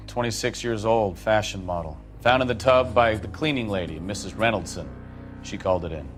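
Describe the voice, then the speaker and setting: An actor playing a plain-spoken, matter-of-fact homicide detective in a video game. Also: male voice, on the low side, fairly quick, faint music behind, lightly acted. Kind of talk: video game cutscene acting.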